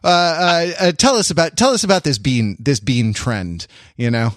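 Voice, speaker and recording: A man hosting a podcast, telling anecdotes casually in a halting, wry, rambling way. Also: on the high side, no room sound, clean studio sound. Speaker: podcast host.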